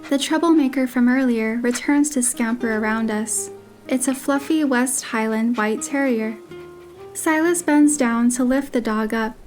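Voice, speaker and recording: A woman's voice: fairly high pitch, unhurried, darkish tone. A woman reading scripted narration for a romance story, with guitar music behind her.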